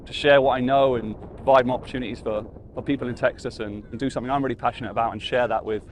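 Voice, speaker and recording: A man's voice: mid-range voice, fairly even intonation, racing, slight background noise. A man from the United Kingdom with a British accent, a youth sports coach talking casually in an interview, often restarting words.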